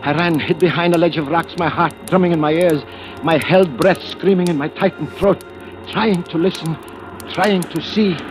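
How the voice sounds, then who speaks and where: An actor playing a nervous, secretive, obsessed treasure hunter in an old radio thriller, speaking haltingly and dramatically. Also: male voice, a little high, fairly even intonation, close to an everyday voice. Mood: terrified. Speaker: actor narrating and playing the lead. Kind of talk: 1940s-50s radio thriller acting, first-person narration. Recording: old radio recording with music.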